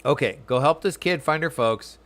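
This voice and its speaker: A man's voice: a little high, normal speed, a bit bright. A man giving casual commentary as he streams himself playing a video game.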